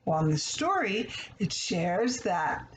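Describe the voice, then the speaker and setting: A woman's voice: medium pitch, very animated, takes it slowly. A woman giving a conversational children's story lesson in a didactic way, putting questions to listeners and answering them herself. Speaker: storybook read-aloud host and teacher. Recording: echoey room with faint background noise.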